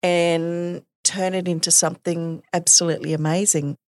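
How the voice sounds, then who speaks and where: Effusive woman, low voice. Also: flat delivery, normal speed, dry recording, clean studio sound. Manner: effusive, gushing praise. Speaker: podcast host. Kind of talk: podcast interview, reading intro script.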